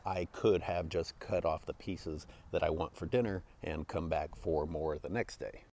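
A man's voice: a bass voice, steady tone, a little slow, darkish tone, slight background noise. A young man giving scripted, tongue-in-cheek voice-over narration for his foraging vlog.

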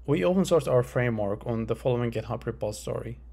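Guy reading out a research talk, kinda gravelly fry in the voice, mid pitch, formal and measured. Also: lively intonation, warm tone. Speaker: researcher presenting a conference paper. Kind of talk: scripted academic presentation narration.

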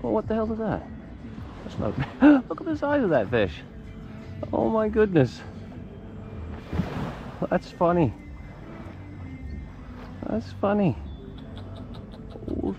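A man hosting a fishing vlog, talking casually in a folksy, unscripted, halting way. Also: high voice, huge pitch swings, dull audio, over music. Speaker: fishing channel host. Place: garage, outdoor beach and shoreline, varied spots.

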